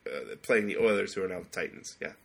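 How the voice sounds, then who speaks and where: A man's voice: deep voice, lively intonation, quick pace, vocal fry, a bit bright, breathy edge. A man hosting a podcast, chatting in a casual, comic and rambling way. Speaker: podcast host.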